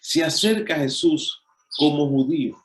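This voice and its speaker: A man's voice: a little high, normal speed, roomy sound, low-quality recording. A middle-aged Dominican man with a Dominican accent, preaching a sermon in a conversational way, often restarting and filling with "eh". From Dominican Republic. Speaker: pastor.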